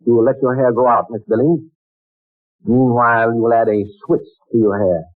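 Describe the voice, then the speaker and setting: An actor playing a courteous, fussy old company boss who speaks in hesitant, old-fashioned formal phrases, in a 1940s radio drama. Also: male voice, medium pitch, fairly even intonation, normal speed, dry recording, lightly acted. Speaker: office manager interviewing a typist. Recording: tinny old radio broadcast, dry.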